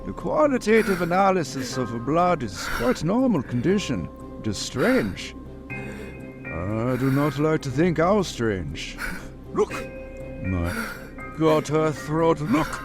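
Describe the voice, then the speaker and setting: An actor playing a grave, ominous old Dutch professor with halting, solemn phrasing in a radio drama. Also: male voice, a little high, wildly swooping pitch, heavily acted. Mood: grave, alarmed. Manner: halting, foreign-sounding syntax, solemn. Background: music.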